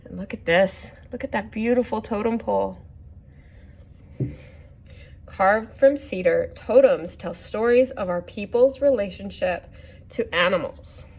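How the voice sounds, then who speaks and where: A woman hosting a children's story time, reading a picture book aloud with gently instructive asides and questions to the listeners. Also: mid-range voice, a little slow, husky.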